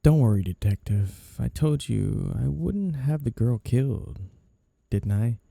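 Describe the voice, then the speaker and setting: A man playing a flirty, coolly threatening mafia boss in an intimate ASMR roleplay, with a low gravelly voice. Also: lively intonation, unhurried, mellow, dry recording, clean studio sound. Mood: calm, scheming. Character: flirty, menacing male mafia boss, smooth and sly.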